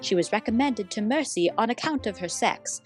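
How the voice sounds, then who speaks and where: A woman playing a loyal, admiring assistant to a lady detective, narrating a period radio mystery in formal, expressive storytelling. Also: on the high side, brisk, faint music behind, natural voice. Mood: dramatic, admiring.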